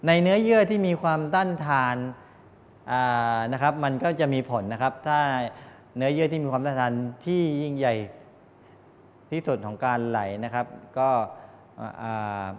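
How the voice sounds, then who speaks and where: A middle-aged man from Thailand giving a classroom lecture, explaining haltingly with frequent polite tag particles. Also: mid-range voice. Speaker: college lecturer in medical electronics. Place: medium-sized indoor classroom with wooden desks. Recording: echoey classroom, distant pickup, background noise.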